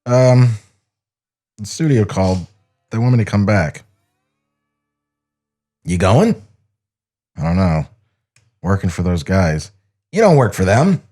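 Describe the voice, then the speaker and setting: Halting, understated animator, read by a man. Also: fairly low pitch, very animated, dark tone, soft background music, voice pushed down, exaggerated voice. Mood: subdued, hesitant. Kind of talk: acted screenplay table read.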